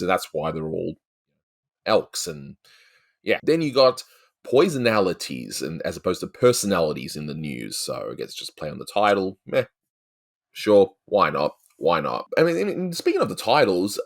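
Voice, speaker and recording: Aussie guy with a low voice, rambling casually thru a review, chatty, lots of you knows. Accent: Australian. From Australia. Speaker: host of an animation review show. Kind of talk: casual film review commentary.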